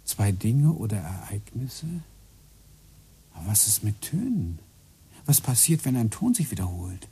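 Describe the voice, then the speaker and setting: A man's voice: lowish voice, sing-song, unhurried, pauses often, dark tone, slightly rough, heavily acted. An actor playing a brooding man obsessed with physics, musing and explaining as he talks, in a radio play. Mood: thoughtful. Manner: musing, explaining, drifts into whispers. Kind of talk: radio drama acting.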